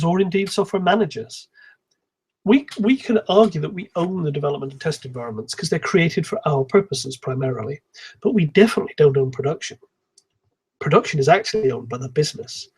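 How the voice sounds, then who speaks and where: Guy explaining software stuff at a conference, calm, bit husky, voice on the higher side. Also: rough recording. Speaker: conference speaker, software engineer. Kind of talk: technical conference talk, explanatory.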